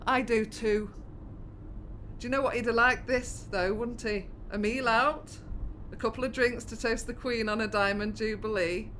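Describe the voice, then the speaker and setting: An actress playing a wistful, caring middle-aged British daughter in a naturalistic audio drama, speaking gently and reflectively. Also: female voice, on the high side, fairly even intonation, normal speed, a little hiss or hum, natural voice. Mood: reassuring.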